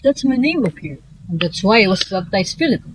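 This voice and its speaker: A woman's voice: mid-range voice, sing-song, brisk, a little hiss or hum, lightly acted, poor audio. Wry young Filipino man voiced by a woman. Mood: matter-of-fact, casual. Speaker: student voice actor. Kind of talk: dramatic reading of a short story.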